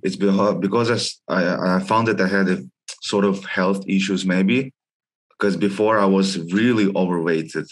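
A young man who grew up in Russia and China, talking as a guest over a headset on a video call. His voice sits low, and he tells his story casually, leaning on "like" with informal phrasing. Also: moderate pace. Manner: frequent "like", informal non-native phrasing. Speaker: guest, gym member. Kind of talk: casual interview chat.

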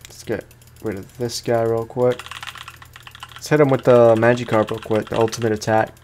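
A man's voice: mid-range voice, flat delivery, normal speed, darkish tone, slight background noise. A man giving casual, rambling, slangy commentary as he plays a video game for his viewers. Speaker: gaming streamer. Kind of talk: casual let's play commentary.

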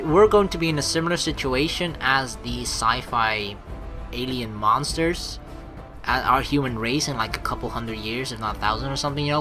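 A woman's voice: low voice, fairly bright tone. A woman playing through a game, reading the story aloud and making casual, swearing asides. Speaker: let's play streamer. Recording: game music behind.

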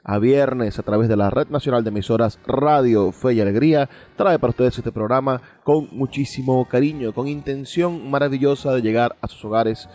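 A man's voice: mid-range voice, moderate pace, few pauses, darkish tone. A man with a Venezuelan accent presenting a radio programme in a formal, effusive way, often repeating himself for emphasis. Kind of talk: radio presenting, introducing music. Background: background music.

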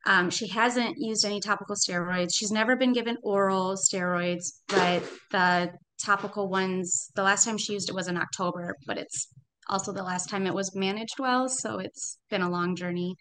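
A woman's voice: mid-range voice, steady tone, normal speed, a bit bright, slight background noise, low-quality recording. A woman in her thirties talking earnestly and thoughtfully about her family on a video call from home. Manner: earnest, thoughtful, trailing hedges. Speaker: parent in a program. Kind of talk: casual conversation. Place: home room.

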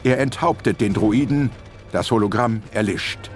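A man's voice: lowish voice, unhurried. A man narrating an audio drama in a dramatic, scripted style. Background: music.

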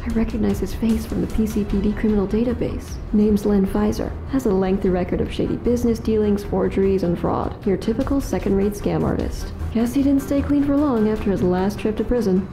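An actress voices a level-headed policewoman in an audio drama. She is matter-of-fact and terse, in a medium register. Music is clearly audible underneath. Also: medium pitch, a little fast, few pauses, close to an everyday voice. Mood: hushed, businesslike. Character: serious, level-headed female police detective.

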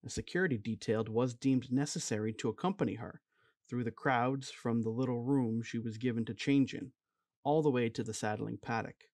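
Male voice-over narrator, mid-pitched and even, reading a history script in a dead-dry booth. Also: moderate pace, no room sound. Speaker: documentary narrator.

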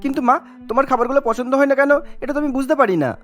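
A woman plays an accommodating young cartoon man who talks extremely fast. He is earnest and coaxing, with music behind. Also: sits high, fairly even intonation, lightly acted. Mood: puzzled.

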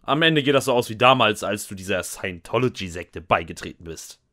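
A man's voice: fairly low pitch, expressive, fairly quick, bright, forceful tone, no room sound. A man narrating and acting the lead in a scripted comic fan audio drama, with a dry, sarcastic, resigned manner. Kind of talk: scripted first-person comic narration with dialogue.

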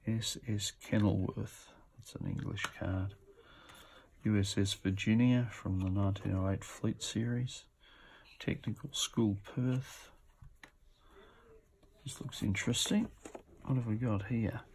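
A man's voice: on the low side, steady tone, measured pace, long pauses, darkish tone, a little hiss or hum. A man giving casual, off-the-cuff commentary as he presents auction items, musing to himself as he goes. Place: indoors at a table.

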